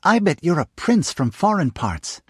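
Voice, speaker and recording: Male reader doing a nosy, excitable young girl, pitched up, dry close sound. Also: slightly rough, no echo. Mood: eager. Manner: excited, high and quick. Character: eager nosy young girl, gleefully blunt.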